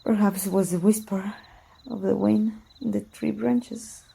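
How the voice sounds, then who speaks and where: A woman voicing a proud, teasing, wounded immortal warrior in an ASMR roleplay, with soft, breathy, archaic formal speech. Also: medium pitch, steady tone, measured pace, exaggerated voice. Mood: flustered, smitten.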